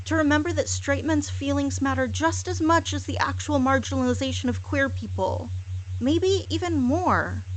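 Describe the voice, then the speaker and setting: A woman with a slightly high voice performs a scripted monologue. Her delivery is deliberate and laced with sarcasm. She leans on repeated phrases as she goes. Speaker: hypnosis audio performer. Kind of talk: scripted monologue, mock apology.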